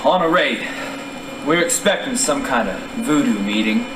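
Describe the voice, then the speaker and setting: An actor playing a polite, earnest police inspector from New Orleans in a staged radio drama. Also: male voice, medium pitch, lively intonation, moderate pace, natural voice. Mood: grave. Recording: echoey distant stage, noisy.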